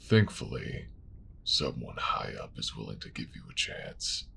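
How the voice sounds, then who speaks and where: A man playing a stern, world-weary religious official in a fantasy audio drama, gravelly, measured and formal. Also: a bass voice, big pitch swings, noisy background, heavily acted.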